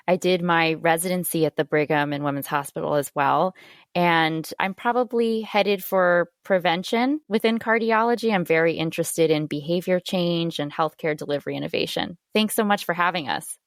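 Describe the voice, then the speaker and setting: A woman's voice: fairly low pitch, moderate pace, no room sound, clean studio sound. A young woman from Boston, a medical fellow, talking in a friendly way as a podcast guest. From Boston, United States. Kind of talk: friendly interview talk.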